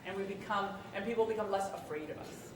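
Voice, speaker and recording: A woman's voice: medium pitch, quick pace. A woman answering as a panel speaker, explaining her point earnestly. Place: large room at a public panel event. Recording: echoey room, distant mic, background noise.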